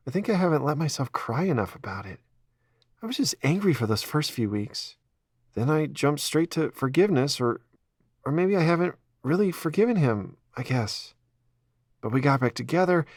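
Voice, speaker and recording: A man's voice: breathy edge, no room sound, clean studio sound, exaggerated voice. A man voicing an emotional young woman who is crying and unsure of herself. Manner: shaky, rising into higher pitch. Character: emotional young woman, tearful and self-doubting.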